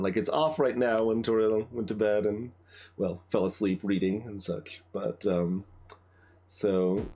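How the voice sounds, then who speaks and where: A man giving casual game commentary as a streamer, halting and thinking aloud as he chats with viewers. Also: fairly low pitch, a little mellow, a little hiss or hum. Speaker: livestreamer, game playthrough host.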